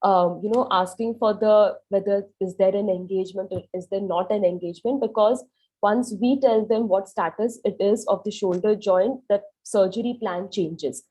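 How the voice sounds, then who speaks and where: A woman's voice: medium pitch, normal speed, warm tone, husky, low-quality recording. A young woman with an Indian English accent presenting a radiology talk over a video call, her voice harsh from a sore throat, explaining with "um" fillers. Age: young adult. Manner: explanatory, with "um" and "you know" fillers. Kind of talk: medical presentation.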